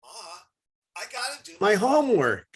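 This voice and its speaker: A man's voice: very high-pitched, wildly swooping pitch, moderate pace, heavily acted. A man playing an earnest schoolboy with simple, childlike phrasing in a readers theatre performance. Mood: hurried evasive. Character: earnest schoolboy reciting and dodging his mother.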